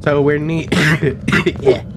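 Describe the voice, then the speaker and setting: A man's voice: fairly high pitch, little pitch movement, very slow, a little hiss or hum. A man with a cold hosting casual gameplay chat with friends, repeating short instructions to his teammates. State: sick. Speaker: gaming video host. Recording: game music behind voice.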